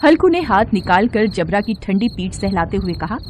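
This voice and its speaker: A woman storyteller reading a scripted tale in an expressive narrating style. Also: mid-range voice, moderate pace. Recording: faint noise with cricket sounds.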